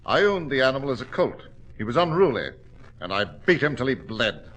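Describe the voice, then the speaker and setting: An actor playing a cold, cruel villain with polite, formal menace in 1940s radio style. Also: male voice, mid-range voice, big pitch swings, a little fast, fairly bright tone, low-quality recording. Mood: smooth menace. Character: suave, cold, cruel male villain with a pistol. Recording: old 1940s radio recording.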